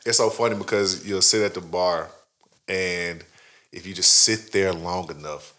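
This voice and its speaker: A man co-hosting a podcast, telling stories in animated, slang-heavy casual banter. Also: low-pitched, unhurried. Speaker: podcast co-host.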